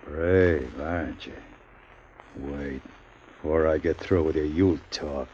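An actor playing a cruel, threatening gangster in a 1940s radio drama, with a clipped manner, on a muffled old broadcast recording. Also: male voice, very deep voice, fairly even intonation, slow, mellow, slight background noise. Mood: menacing. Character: menacing male gangster, cruel, armed husband.

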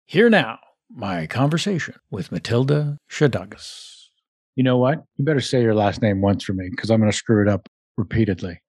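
Jokey interview host, very lively intonation, dry sound. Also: mid-range voice, moderate pace, husky, no room sound. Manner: playful, jokey. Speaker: podcast host. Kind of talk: casual interview.

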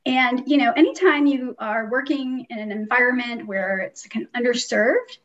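A woman's voice: on the high side, normal speed, a bit bright. A middle-aged woman with an American accent, telling her story casually as a podcast guest on a video call. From US Midwest. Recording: distant, dull lo-fi call audio.

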